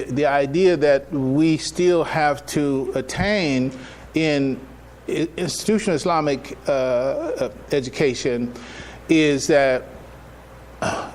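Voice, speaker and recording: Higher-voiced man preaching over clear background noise. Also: a little mellow. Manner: emphatic, repetitive, conversational, checks in with listeners. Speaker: imam giving a public address. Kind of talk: informal religious lecture to a live audience.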